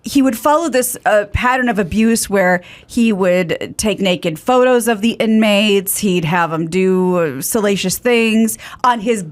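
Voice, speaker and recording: A woman's voice: medium pitch, measured pace, hardly any pauses. A woman reporting news on a podcast in a studio, reading items with chatty asides on a studio mic. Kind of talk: casual news segment. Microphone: studio mic with headphones.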